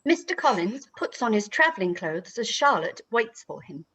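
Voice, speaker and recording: A woman's voice: on the high side, big pitch swings, normal speed, a bit bright, breathy edge. A woman narrating stage directions for a live-read play in a scripted storytelling style.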